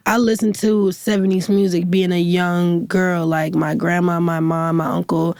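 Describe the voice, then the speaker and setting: A woman in her twenties with a raspy voice, chatting casually as a guest singer in an interview, with lots of fillers. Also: on the low side, moderate pace, no room sound, clean studio sound, faint music behind. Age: 20s. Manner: relaxed, filler-heavy, laughs easily.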